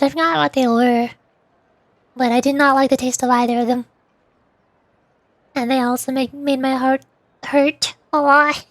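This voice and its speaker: A woman's voice: high-pitched, dry recording. A woman chatting casually and playfully with her stream viewers as she plays a game, giggling and teasing as she goes. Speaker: VTuber livestream host.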